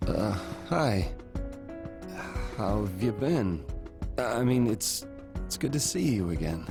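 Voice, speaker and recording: A man's voice: lowish voice, sing-song, slow, creaky, music in the background, heavily acted. An actor voicing a hesitant, stammering young man who turns earnest, in a game cutscene. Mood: nervous. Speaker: game character.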